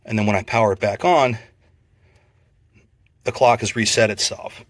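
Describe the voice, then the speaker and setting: A man giving a casual, unscripted product review in a plainspoken, measured, explanatory way. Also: lowish voice, dry recording, clean studio sound.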